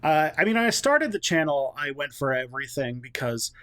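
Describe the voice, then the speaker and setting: A man's voice: a little high, very animated, normal speed, sharp tone, no room sound. A man in his thirties, a guest on a podcast, chatty and self-deprecating, laughing easily. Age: 30s. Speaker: guest, history content creator. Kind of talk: casual podcast interview.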